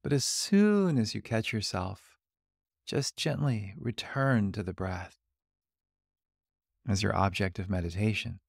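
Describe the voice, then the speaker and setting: A man leading a guided meditation, giving gentle, calm instructions with long, unhurried pauses. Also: fairly low pitch, vocal fry, no echo, studio quality. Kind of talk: guided body scan meditation.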